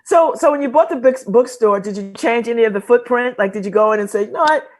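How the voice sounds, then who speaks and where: A woman hosting a casual interview show, chatty and quick to laugh as she asks questions. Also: a little high, animated. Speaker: talk show host.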